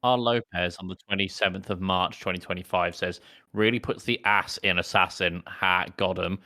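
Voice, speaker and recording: Male podcast co-host, low voice with a bright, sharp edge, casual film-review banter. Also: fairly even intonation, moderate pace.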